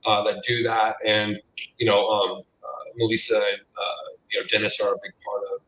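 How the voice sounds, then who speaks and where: A man's voice: fairly low pitch, flat delivery, moderate pace, sharp tone, a little hiss or hum. A man hosting a podcast interview in a laid-back, agreeable way with plenty of fillers. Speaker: podcast host. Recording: echoey room, distant from mic.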